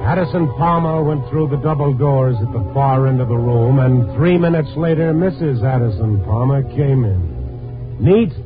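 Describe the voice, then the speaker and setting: A dogged, world-weary newspaperman from a vintage radio drama played by a male actor. He has a mid-pitched voice and a hard-boiled delivery, on a narrow old recording. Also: normal speed, music in the background, natural voice. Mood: wry, observant. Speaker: lead and narrator. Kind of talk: 1950s radio acting, hard-boiled narration.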